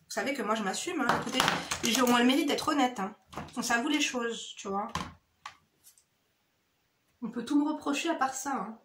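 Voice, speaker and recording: Woman, higher-pitched voice, informal makeup-chat vlogger, direct and slangy with the audience, recorded in a noticeably echoey room. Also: moderate pace, long pauses, noisy background. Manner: familiar, slangy, addresses viewers directly with frequent "hein" tags. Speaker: beauty vlogger. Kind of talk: casual chatty get-ready-with-me makeup chat. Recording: roomy, echoey indoor sound.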